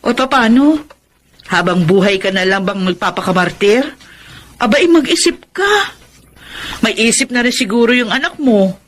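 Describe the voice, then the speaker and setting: A woman's voice: fairly high pitch, lively intonation, normal speed, long pauses. A woman with a Filipino accent playing a blunt, exasperated, protective older sister in a radio drama, scolding emphatically. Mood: urgent advice. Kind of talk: Tagalog radio drama acting.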